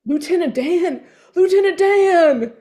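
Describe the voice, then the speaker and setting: A woman reading the part of a simple, earnest, tender-hearted man at a table read, with a halting, stammering delivery. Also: extremely high pitch, lively intonation, warm tone, exaggerated voice. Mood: overjoyed. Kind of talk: informal screenplay table read.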